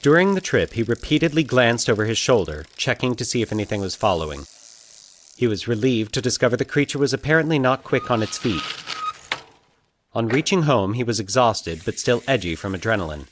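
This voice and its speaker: A man narrating a scripted fiction story in a measured, dramatic storytelling manner. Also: medium pitch, animated, slight background noise.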